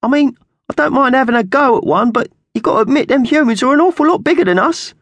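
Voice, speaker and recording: A man's voice: very high voice, lively intonation, quick pace, fairly bright tone, no echo, voice pushed up, full character voice. A man voicing a scrawny magpie henchman in a children's storybook, a squawky and mock-solemn gang underling. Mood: puzzled. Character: thin scrawny male magpie henchman, nasty yet sentimental.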